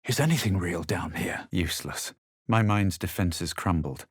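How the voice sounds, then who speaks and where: An actor playing a smug, self-assured male adventurer in a fantasy video game, measured and dryly dramatic. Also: sits low, expressive, creaky, husky, dry recording, studio quality, full character voice. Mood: wry, composed. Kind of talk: video game character lines and first-person narration.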